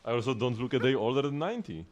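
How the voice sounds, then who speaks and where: A man's voice: medium pitch, animated, racing, dull audio, slight background noise. A man in his late twenties chatting while he streams a game, rambling with lots of restarts and laughs. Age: late 20s. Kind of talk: casual gaming livestream chat.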